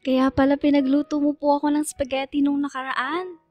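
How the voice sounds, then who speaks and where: A woman playing a devoted, sensitive high school girl in a radio drama, earnest and respectful toward her parent. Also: level tone, fairly quick, highs cut off, soft background music, lightly acted. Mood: innocent, affectionate. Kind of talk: Filipino radio drama acting.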